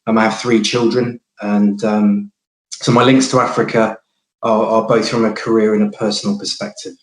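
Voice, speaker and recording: A man in his forties who works as a banker, introducing himself on a panel in a steady, matter-of-fact way. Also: fairly low pitch, moderate pace, echoey room, poor audio.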